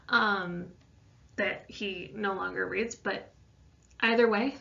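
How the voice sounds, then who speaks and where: A woman speaks as a science teacher walking students through an assignment. Her delivery is casual and conversational, with informal asides, at an average pitch. The recording is a little dull and lo-fi. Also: fairly bright tone. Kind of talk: explaining an assignment, informal lesson voice-over.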